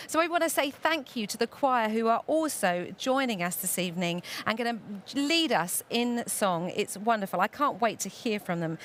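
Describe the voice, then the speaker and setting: A woman's voice: a little high, fairly bright tone, a little hiss or hum. A woman hosting a live event pre-show, speaking to viewers in an enthusiastic, exclamatory and encouraging way.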